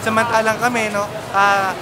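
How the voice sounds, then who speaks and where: Young Filipino student activist in loud street din. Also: medium pitch, little pitch movement, normal speed. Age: young adult. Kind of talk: spoken interview statement. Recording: very noisy outdoor crowd and traffic.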